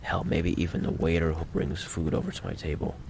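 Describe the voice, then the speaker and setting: A man playing a menacing, possessive mafia boss in an ASMR roleplay monologue, with a low, gravelly voice and a deliberate, coolly condescending delivery. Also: flat delivery, background noise. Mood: cold storytelling.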